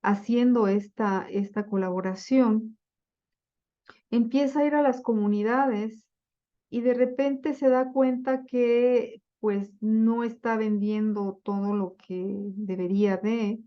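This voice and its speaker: Woman, lecture voice, slow, deliberate, fillers and restarts, slightly dull audio. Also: on the high side. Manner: slow and deliberate, with many fillers and self-corrections. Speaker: lecturer on Bible translation. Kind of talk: slide-based lecture.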